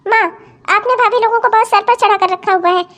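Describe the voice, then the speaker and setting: A woman's voice: very high-pitched, fairly even intonation, fast, a bit bright. A woman playing a spoiled, entitled married daughter in a family melodrama, whiny, haughty and demanding. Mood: complaining, entitled. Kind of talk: Hindi family melodrama story acting.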